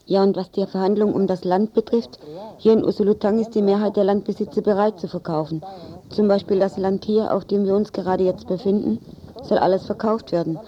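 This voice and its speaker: A woman's voice: medium pitch, fairly even intonation, fairly quick. A woman reading a scripted translation as a radio voice-over, recorded with dull, lo-fi sound.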